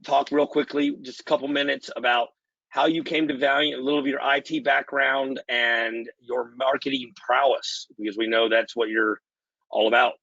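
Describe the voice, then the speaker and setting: A man hosting a webinar and interviewing a guest in a measured way. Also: medium pitch, steady tone, creaky, pushed and bright, no room sound, highs cut off. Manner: measured, introduces and thanks guests. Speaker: channel chief, webinar host.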